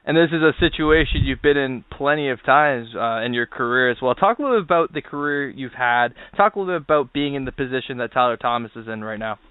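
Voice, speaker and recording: A man's voice: mid-range voice, expressive, quick pace, few pauses, smooth, dry recording. A man hosting a wrestling show and interviewing a guest, with a narrow phone-like sound.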